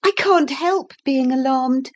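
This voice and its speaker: Brief: woman reading as a small storybook girl, high and bright, breathless and eager to please. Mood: anxious. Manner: breathless, earnest, quick to apologize. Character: earnest little girl, eager and anxious. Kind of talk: audiobook character voice.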